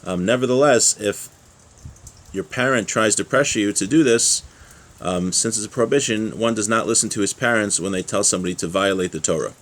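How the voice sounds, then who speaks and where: Male religious teacher, lowish voice, informal and explanatory, moves between reading aloud and plain commentary. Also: fairly quick, a bit bright. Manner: explanatory, with rhetorical check-ins like "right?". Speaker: religious teacher giving a class.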